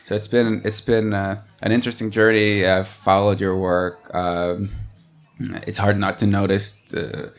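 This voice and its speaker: Male interviewer, very deep voice, hesitant, lots of fillers. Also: flat delivery, measured pace. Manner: hesitant, many fillers and restarts. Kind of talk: interview introduction and questions.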